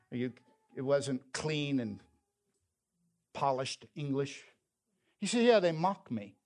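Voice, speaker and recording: Man preaching with emphatic delivery. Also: on the high side. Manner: storytelling, quoting scripture, emphatic. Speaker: pastor. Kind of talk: sermon.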